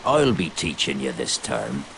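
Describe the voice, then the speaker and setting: An actor playing a big, gruff, hearty groundskeeper teacher in a video game cutscene. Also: male voice, very deep voice, wildly swooping pitch, measured pace, noisy background, full character voice. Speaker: teacher character.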